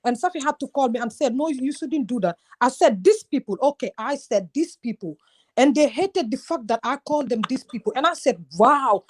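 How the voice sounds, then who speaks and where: A woman from Gambia talks with passion on a casual group call. Her voice sits a bit high. She keeps acting out her own past words as she tells the story. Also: lively intonation, a little fast. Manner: passionate, emphatic storytelling, quotes herself often.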